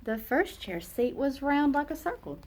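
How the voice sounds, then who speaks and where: A woman teacher reading a children's picture book aloud, slow and expressive for young listeners. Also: high voice.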